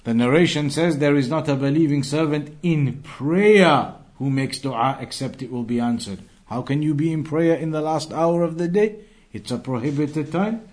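A man's voice: on the high side, moderate pace, darkish tone. A man giving an explanatory religious lesson as a teacher, didactic, restating key phrases for emphasis and moving between quotations and explanation. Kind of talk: explanatory Islamic lesson, translating quoted Arabic into English.

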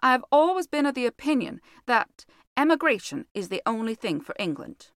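Woman doing a calm, wry American gentleman. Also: a little high, moderate pace, no room sound, clean studio sound, voice pushed up. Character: American gentleman, a calm, dry-humoured minister.

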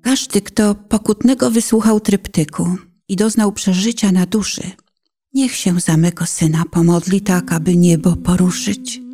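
A woman narrating a poetic religious play, reciting a penitential prayer in a solemn, pleading, dramatic way with hushed phrase endings. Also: medium pitch, measured pace, husky.

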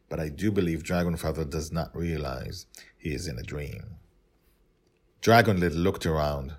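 A man reading and commenting on his own story podcast, with deliberate, emphatic phrasing. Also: sits low, breathy edge. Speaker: writer and narrator of a story podcast.

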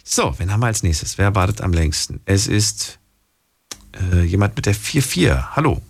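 Man hosting a call-in show, pitch jumps around a lot, kinda husky. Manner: friendly, brisk, animated. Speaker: radio host. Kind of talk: phone-in radio hosting.

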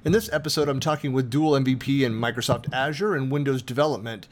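A man's voice: medium pitch, steady tone, fast talker. A man hosting an interview podcast, chatty and rambling with many fillers. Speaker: podcast host.

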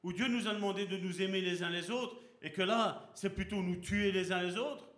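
A man preaches with fervor, his voice sitting high for a man and carrying through a roomy, echoing hall. He pastors a congregation and keeps pressing them with rhetorical questions. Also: normal speed, fairly bright tone. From Belgium. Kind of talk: sermon. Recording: echoey hall.

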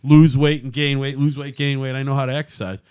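A man's voice: medium pitch, little pitch movement, quick pace, a little mellow, clean tone, no room sound. Rambling, swearing man in his fifties. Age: 50s. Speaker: podcast host. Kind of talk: casual chat.

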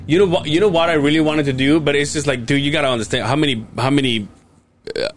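A man's voice: fairly high pitch, quick pace, a little hiss or hum. A young man hosting a podcast, chatting casually and teasing, laughing often. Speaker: podcast host. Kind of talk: casual chat.

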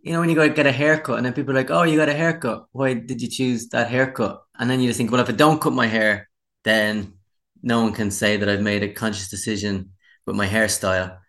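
A man's voice: mid-range voice, fairly even intonation, brisk, a bit bright. An Irish man in his forties, a musician chatting casually in an interview with a wry, self-deprecating manner. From Ireland. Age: 40s.

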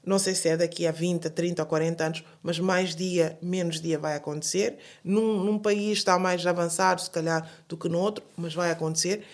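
A woman's voice: on the low side, fairly even intonation, normal speed, hardly any pauses. A woman, answering an interview in an earnest way, often restarting and repeating words. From Portugal. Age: 40s. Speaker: guest. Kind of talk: interview conversation.

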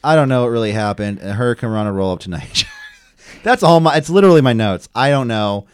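A man's voice: medium pitch, lively intonation, quick pace, clean tone. A man co-hosting a casual podcast, running through wrestling results from his notes with frequent ums and uhs. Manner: reads match notes, many ums and uhs. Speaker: podcast co-host recapping wrestling. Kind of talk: casual chat, play-by-play recap.

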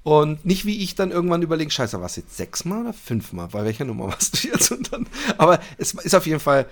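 A man co-hosting a podcast, chatting casually and humorously, telling things like an animated storyteller. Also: a little high, quick pace. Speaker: podcast co-host.

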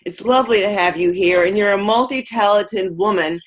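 A woman hosting an interview podcast as a psychotherapist, asking her guest questions in a measured way. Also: medium pitch.

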